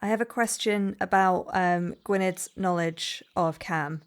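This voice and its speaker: A woman with a fairly low voice plays a tabletop roleplaying game. She improvises her character's actions and chats at the table. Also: a little slow, clean tone. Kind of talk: improvised narration and table talk.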